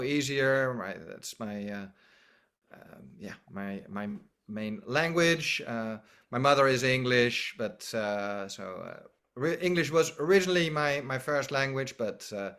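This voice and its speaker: Halting Dutch-accented man. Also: medium pitch, fairly bright tone, clear, resonant tone. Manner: halting, many restarts and trailing phrases. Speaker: podcast guest, music school founder. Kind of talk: casual conversational interview.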